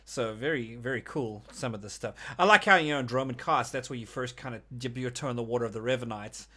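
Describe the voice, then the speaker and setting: A man's voice: mid-range voice, fast talker, bright, forceful tone. A man co-hosting a gaming podcast in casual, rambling chat, hesitating as he thinks things through. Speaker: podcast co-host discussing a video game.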